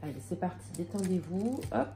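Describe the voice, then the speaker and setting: A woman's voice: fairly low pitch, fairly quick, mellow, a little hiss or hum. A woman with a slight cold giving a casual tarot reading to her viewers, talking in a hesitant way with many fillers and restarts. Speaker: tarot card reader.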